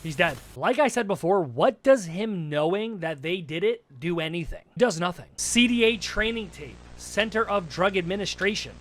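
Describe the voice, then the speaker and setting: A man's voice: high voice, animated, normal speed, few pauses, a bit bright, slight background noise. A man giving casual, slangy, mocking commentary as he reacts to a horror series, often repeating phrases for emphasis. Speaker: reaction video host.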